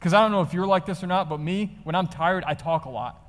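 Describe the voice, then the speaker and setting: A fairly high-pitched male voice with an American accent rings out with long hall reverb. He is a motivational speaker sharing personal anecdotes from the stage. His delivery is conversational and animated, like live storytelling to an audience. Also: quick pace.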